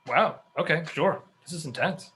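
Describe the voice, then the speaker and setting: A man playing a goofy, eager husband who relays his wife's words loudly in a comedy table read. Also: fairly high pitch, moderate pace, breathy edge, heavily acted. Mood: sheepish. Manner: loud, animated.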